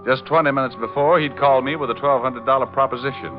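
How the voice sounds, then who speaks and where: An actor playing a cool, wry private detective in an old radio drama, with a casual deadpan delivery. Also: male voice, medium pitch, fast, over music, natural voice. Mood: musing. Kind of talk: 1940s-50s radio detective acting. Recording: dull old-time radio recording.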